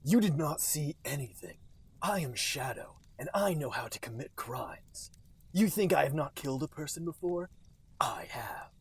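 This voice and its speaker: Man doing a husky, brooding teen antihero. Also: fairly high pitch, animated, exaggerated voice. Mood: menacing. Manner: deliberate, menacing, self-serious. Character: Shadow, brooding menacing male teenage cartoon antihero. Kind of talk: acted fan-fiction dialogue reading.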